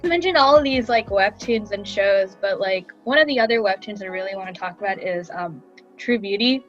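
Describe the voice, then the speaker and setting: A woman's voice: mid-range voice, expressive, brisk, fairly bright tone. A young adult woman co-hosting a casual podcast, chatting with friends in a playful, teasing way. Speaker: podcast co-host. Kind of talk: casual chat among friends. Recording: slightly dull audio, background music.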